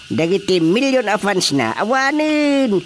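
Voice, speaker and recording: A woman acts as an opinionated Filipino grumbler in a radio drama. The speech is slow and emphatic, with musing hums and big swings in pitch. Light ambient noise sits behind. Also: sing-song. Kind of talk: Ilocano radio drama dialogue.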